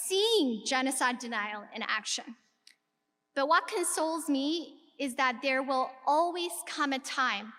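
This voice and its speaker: Woman, keynote speaker, from Ethiopia, earnest, rhetorical questions, bright tone, reverberant lecture hall. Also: sits high. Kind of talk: speech to a live audience. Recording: long reverb of a large hall.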